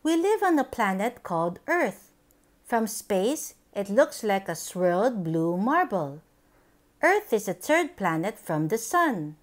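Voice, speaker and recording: A woman reading a picture book aloud for young children, with simple, deliberate, expressive phrasing. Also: medium pitch. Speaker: children's book reader, channel host.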